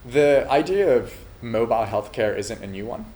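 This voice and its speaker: A man from Finland who is also a nursing student, answering interview questions about his startup in a measured, thoughtful way, pausing often with "uh". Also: mid-range voice, animated, a little mellow, low-quality recording. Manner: measured, thoughtful delivery with frequent "uh" pauses. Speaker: entrepreneur pitching a startup idea.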